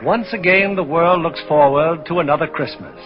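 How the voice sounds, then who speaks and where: A man's voice: on the high side, normal speed. A man hosting a radio theater, giving a formal scripted 1940s address on a dull old recording. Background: music.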